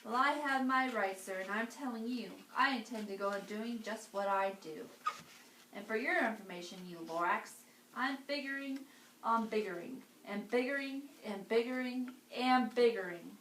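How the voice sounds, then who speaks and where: A woman voicing a loud, defiant greedy factory man. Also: on the high side. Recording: roomy, distant, faint room noise.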